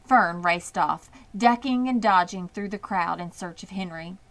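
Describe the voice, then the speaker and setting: A woman's voice: lowish voice, unhurried. A woman narrating a children's book as a scripted read-aloud. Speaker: storybook reader.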